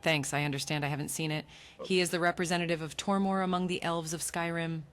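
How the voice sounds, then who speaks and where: Smooth, low formal elf hostess played by a woman. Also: fairly even intonation, quick pace, lightly acted. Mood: polite, formal. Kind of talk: video game character dialogue.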